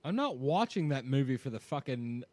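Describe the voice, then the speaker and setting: A man's voice: medium pitch, big pitch swings, moderate pace, darkish tone, no room sound. A man in casual podcast chat, laid-back and profane, laughing along. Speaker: podcast co-host.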